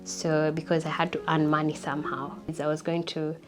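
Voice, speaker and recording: A young woman telling her life story in an interview, reflective and unhurried, often repeating words for emphasis. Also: deep voice, flat delivery, over music. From Kenya. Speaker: interview guest.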